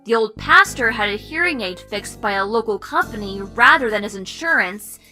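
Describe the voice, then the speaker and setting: A woman playing a confident, teasing young hacker sidekick who explains things briskly in an audio drama. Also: on the high side, lively intonation, pushed and bright, soft background music. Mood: confident, explaining. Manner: brisk, explanatory, self-assured. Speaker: voice actor.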